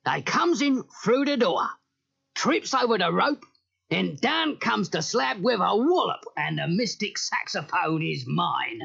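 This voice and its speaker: An actor playing a bullying, exasperated villainous gang boss who snarls in rough grammar, in a children's cartoon audio story. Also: mid-range voice, sing-song, unhurried, a bit bright, exaggerated voice. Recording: muffled old audio cassette.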